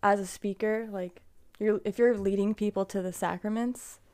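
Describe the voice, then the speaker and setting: A woman co-hosting a casual podcast, thoughtful and measured. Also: mid-range voice, fairly even intonation, a little mellow. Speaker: podcast co-host.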